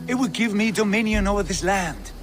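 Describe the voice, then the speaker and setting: A man's voice: sits high, fairly quick, music in the background, natural voice. A man playing a proud, grandiose Viking lord in a video game, with a solemn, lordly delivery. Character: proud, grandiose Viking lord who believes himself divine. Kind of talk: dramatic video game acting.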